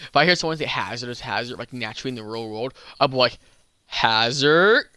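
A man's voice: medium pitch, animated, normal speed, sharp tone, clear, resonant tone, no room sound, clean studio sound. A young man streaming a game and chatting casually, stumbling over words, slurring a little, with a slight lisp. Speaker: gaming YouTuber and streamer. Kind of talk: casual gameplay chat.

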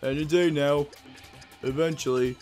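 A man's voice: fairly high pitch, fairly even intonation, a little slow, a little mellow. A man giving casual, rambling, self-deprecating commentary on a video game. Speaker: let's player. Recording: game music behind.

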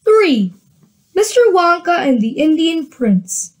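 A woman reads a children's storybook aloud in a high voice, giving the characters voices of their own. She often restarts lines and drops into relaxed asides to her listeners. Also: takes it slowly, poor audio. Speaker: reader of a children's book.

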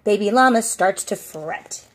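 A middle-aged woman, a preschool teacher, reading a storybook aloud to young children in an expressive, sing-song way with drawn-out phrase endings. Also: mid-range voice. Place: outdoors on a covered porch.

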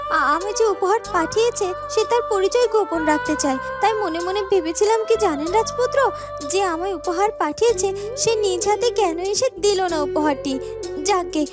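A woman playing a proud, coyly teasing young princess in a fairytale cartoon, chatty and self-assured. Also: extremely high pitch.

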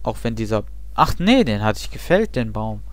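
A man's voice: on the low side, sing-song, moderate pace, dry recording. A young man chatting casually and rambling with self-mocking asides while he plays a game. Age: young adult. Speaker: let's play host. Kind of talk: casual gameplay commentary.

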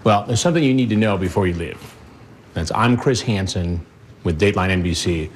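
A man confronting a visitor calmly and firmly as an investigative TV host. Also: deep voice, fairly quick. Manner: calm, firm questioning. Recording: noisy hidden-camera audio.

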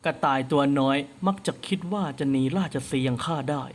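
Guy putting on a low gloating thug voice, sneery and cocky. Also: fast, lightly acted. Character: boastful brutish male henchman, gloating predator.

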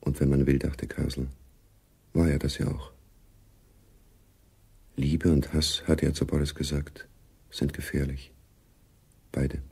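Brooding radio play narrator, really low dark raspy voice, measured n sombre. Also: male voice, flat delivery, full character voice. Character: grave, brooding male narrator of a spy story. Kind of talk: scripted radio drama narration.

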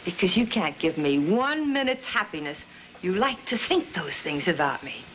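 An actress playing a bitter, accusing wife in a 1950s film melodrama, biting and insistent, on a noisy old soundtrack. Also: female voice, fairly low pitch, sing-song, normal speed, fairly bright tone. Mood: bitter accusation.

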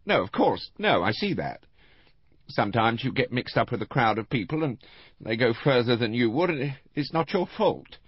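A man's voice: mid-range voice, big pitch swings, moderate pace, breathy edge, no room sound, highs cut off, pitched above the performer's own voice. A man voicing a flustered, mercenary middle-aged woman who hesitates and keeps breaking off as she talks on the telephone in an audiobook. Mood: doubtful, placating. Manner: hesitant, wavering, breaking off and restarting.

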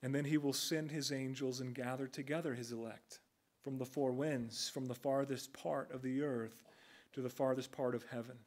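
A man's voice: mid-range voice, steady tone, normal speed, darkish tone. A man in his thirties preaching a conversational, earnest sermon from a pulpit in a church sanctuary. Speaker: pastor. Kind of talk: expository sermon. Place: church sanctuary with a stage and band gear.

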